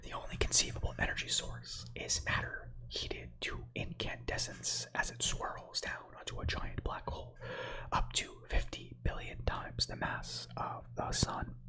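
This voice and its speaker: A man in his thirties whose first language is Slovak, whispering softly as an ASMR streamer who reads space facts with chatty, meandering asides to viewers. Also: a little slow, low-quality recording. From United States. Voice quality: breathy whisper.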